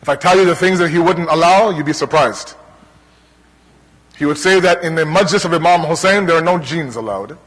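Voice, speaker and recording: A man from Toronto with a Canadian accent preaching to a congregation in an earnest, rhetorical manner, pausing between deliberate parallel phrases. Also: fairly high pitch, fairly bright tone. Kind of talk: religious lecture to a congregation. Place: large hall, speaking to a gathering. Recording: long hall reverb.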